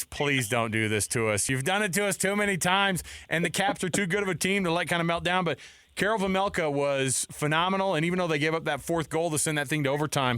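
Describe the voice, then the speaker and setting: A man's voice: fairly high pitch, pushed and bright, smooth, no room sound. An American man hosting sports radio, talking casually in a bantering, effusive way on a close studio mic. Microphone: close studio microphone.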